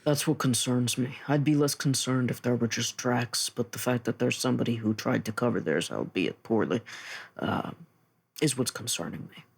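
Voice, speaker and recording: Slightly husky, gravelly man role-playing. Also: medium pitch, moderate pace. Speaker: tabletop role-play podcast player. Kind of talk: in-character improvised role-play and casual table talk.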